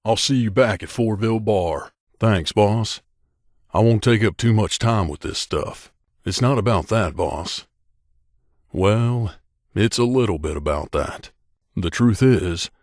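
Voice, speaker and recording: Game companion role: male actor as a hardened wandering sellsword, lowish pitch, curt and weary, very dry recording. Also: measured pace, darkish tone, no room sound. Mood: guarded, softening. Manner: gruff, curt, world-weary. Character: grizzled, guarded male mercenary bodyguard, veteran wanderer. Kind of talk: video game companion dialogue.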